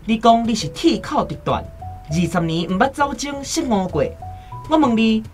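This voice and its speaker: Woman playing a hot-headed young scholar, swooping pitch. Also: expressive, normal speed, darkish tone, soft background music, natural voice. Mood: defiant. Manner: indignant, challenging. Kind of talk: Taiwanese radio drama acting.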